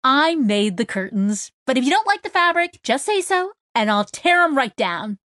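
An exuberant young woman mechanic from a storybook, as voiced by a female narrator. Her voice jumps high and gushes with friendliness, and the sound is close and dry. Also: sing-song, normal speed, fairly bright tone, no echo. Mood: friendly, eager. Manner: gushing, exuberant.

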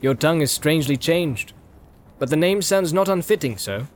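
A man voicing a stately old king, formal and courtly, full of measured wonder, in a storybook reading. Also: fairly high pitch, expressive, natural voice. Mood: gracious.

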